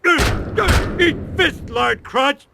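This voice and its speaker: Very high-pitched cartoon oaf dad. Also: male voice, lively intonation, drawn-out words, creaky, full character voice. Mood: triumphant. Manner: simple-minded, boisterous. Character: childish, dim, excitable middle-aged oafish father. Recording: music behind the voice.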